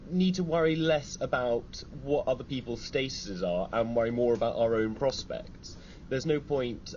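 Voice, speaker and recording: A man's voice: medium pitch, a little mellow. A man, a magazine editor, speaking as a guest on a radio discussion, articulate and opinionated with dry wit. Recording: dull broadcast audio with faint hiss.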